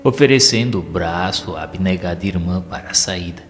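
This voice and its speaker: A solemn spirit narrator, played by a man with a lowish voice and Brazilian accent. He tells his tale in measured, literary audio-drama style, compassionate and grave. His words ring in a long hall reverb over clear music. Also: close to an everyday voice. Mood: solemn, moved.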